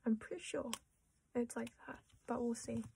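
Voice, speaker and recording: A young Australian woman chatting quietly to camera while sorting her collection, often dropping into a half-whisper and poking fun at herself. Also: on the high side, moderate pace, vocal fry, a little hiss or hum. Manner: soft-spoken, often half-whispering, self-deprecating. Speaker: collecting vlogger. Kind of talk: casual chat while sorting cards.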